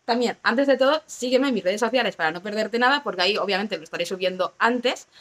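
A hoarse young woman chatting casually to camera as a book vlogger, recorded at home on a phone or camera held close. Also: mid-range voice, a bit bright. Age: young adult. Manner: chatty, quick asides and fillers. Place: small rooms at home and an outdoor terrace.

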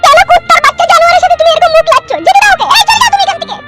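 A very high-pitched, melodramatic young daughter from a comedy cartoon. She talks quickly and shrilly, and loud music plays behind her. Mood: scornful anger. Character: angry, wronged young pregnant daughter.

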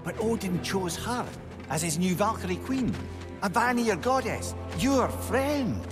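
An actor playing a wise, kindly older companion in a video game, conversational and earnest. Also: male voice, sits high, lively intonation, unhurried. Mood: concerned. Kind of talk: video game dramatic acting. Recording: music under the voice.

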